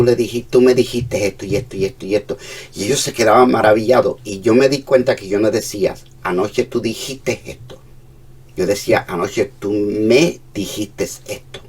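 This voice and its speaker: A man with a Cuban accent, preaching a sermon as a pastor, moving between hushed and emphatic passages. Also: on the low side, breathy edge, recorded from a distance.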